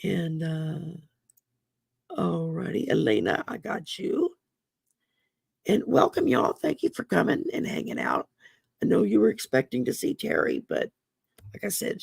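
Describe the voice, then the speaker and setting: A woman with a drawl and a deeply gravelly, husky voice from damaged vocal cords, talking slowly. She hosts a relaxed card-reading livestream into a computer mic, with a lively pitch and a dry close sound. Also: no room sound. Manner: slow, folksy, chatty with many ums. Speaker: host and oracle card reader. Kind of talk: casual livestream chat.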